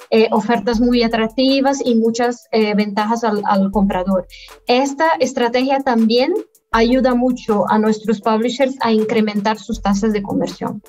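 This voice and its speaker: A woman in her thirties, a guest affiliate manager, answering interview questions in a measured, halting way over a webcam video call. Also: on the high side, a little mellow. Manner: measured, halting delivery with frequent pauses. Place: indoor room at home, by video call.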